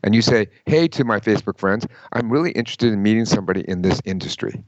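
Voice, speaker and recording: A man giving career advice in an interview, emphatic and lively, often quoting people in mock voices. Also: fairly low pitch, quick pace, no room sound, dull audio. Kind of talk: conversational interview.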